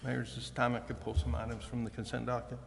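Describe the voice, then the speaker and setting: A man speaking haltingly and deliberately as a council member making remarks at a public meeting. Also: mid-range voice, little pitch movement, gravelly. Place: indoor council chambers. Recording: reverberant hall, faint background noise.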